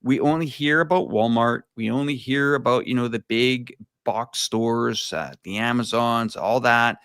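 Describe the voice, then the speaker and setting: A man of ordinary pitch co-hosts a casual livestream chat. He rambles, leans on fillers and restarts, and laughs easily. The sound is dry and close. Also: a little slow, fairly bright tone, clear, resonant tone, no room sound. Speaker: co-host.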